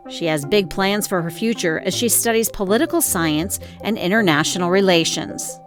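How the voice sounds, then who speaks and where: A woman's voice: lowish voice, expressive, moderate pace. A woman with an American accent reads scripted narration as a news reporter. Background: music.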